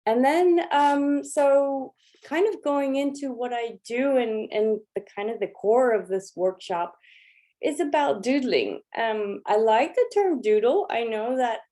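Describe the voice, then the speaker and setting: Mellow-voiced woman teaching a workshop. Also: on the high side, a little slow. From Los Angeles. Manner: relaxed, chatty, with frequent ums and restarts. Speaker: workshop leader. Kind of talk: informal lecture with slides.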